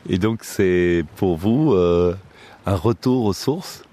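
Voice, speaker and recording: Low, mellow Belgian radio host. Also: male voice, slow. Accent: Belgian French. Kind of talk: radio interview and show hosting.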